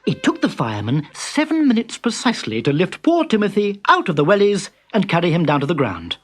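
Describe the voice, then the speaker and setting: A man reading a children's story aloud with lively, expressive narration. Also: sits high, a little fast. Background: background music.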